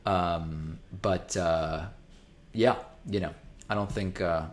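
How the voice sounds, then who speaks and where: A man's voice: sits low, steady tone, takes it slowly. A man whose first language is Spanish, hosting a live stream and reading out viewer donations in a casual way, with frequent ums and restarts as he thinks aloud.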